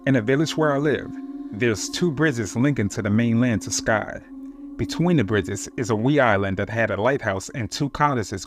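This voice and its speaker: A man narrating true-encounter horror stories in a steady, measured reading voice. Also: mid-range voice. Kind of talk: scripted horror story narration.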